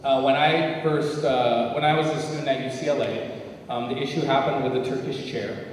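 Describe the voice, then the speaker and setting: A man's voice: mid-range voice, steady tone, moderate pace, slight background noise. Hesitant man answering in a hall. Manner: hesitant, with many fillers. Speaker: forum panelist. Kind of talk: answering an audience question. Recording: echoey hall from far off.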